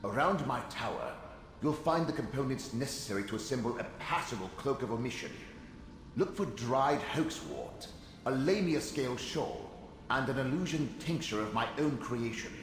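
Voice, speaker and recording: An actor playing the proud, reclusive ghost of an old wizard in a video game, lecturing haughtily. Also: male voice, medium pitch, animated, moderate pace, slight background noise, close to an everyday voice. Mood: instructing. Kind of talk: video game character acting. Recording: cavernous echo with music behind.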